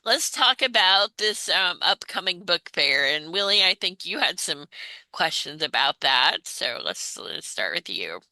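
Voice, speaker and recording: A woman's voice: fairly low pitch, big pitch swings, a little slow, pushed and bright, dry recording. A woman hosting an interview in a conversational style. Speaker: interview host.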